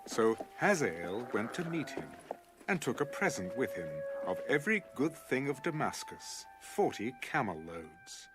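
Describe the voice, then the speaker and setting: A man's voice: mid-range voice, sing-song, a little slow, over music. A man narrating a dramatized scripture audiobook as a scripted storyteller.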